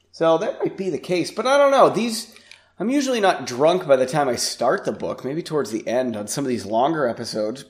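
Raspy congested man hosting a podcast. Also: a little high. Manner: rambling, self-deprecating. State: congested from a sinus infection. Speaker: podcast host. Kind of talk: casual podcast chat.

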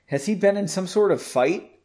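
A man's voice: sits high, moderate pace, darkish tone, voice pushed up, natural voice. A man as a matter-of-fact, tall housewife. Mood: curious. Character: tall, hospitable, inquisitive married woman.

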